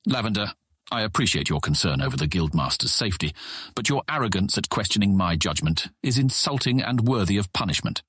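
A stern older guild deputy in a fantasy audio drama. The voice is low and husky, formal and condescending, with the weight of command. It sounds close and dry. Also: male voice, expressive, fairly quick, a bit bright, dry recording. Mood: cold, indignant. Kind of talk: full-cast fantasy audiobook acting.

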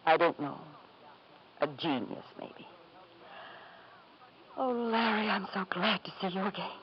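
A woman's voice: medium pitch, lively intonation, unhurried, lightly acted. An actress playing a genteel, anxious wife and devoted mother in a 1950s radio drama, refined and hesitant. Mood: wistful. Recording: muffled, noisy 1950s radio broadcast.

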